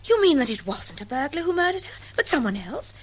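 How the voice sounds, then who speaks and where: An actress playing an eager, deferential, easily flustered secretary in a British radio drama, with a fluttery manner. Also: female voice, high-pitched, very animated, brisk, slight background noise. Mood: curious.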